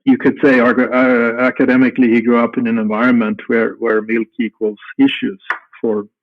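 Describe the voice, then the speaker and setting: A middle-aged man from Sweden with a Swedish accent, speaking English as a guest in an unhurried, halting way with many restarts. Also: medium pitch, level tone, slight background noise. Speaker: guest, company founder. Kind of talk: casual interview answers. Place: home room at night. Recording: tinny phone-like call line.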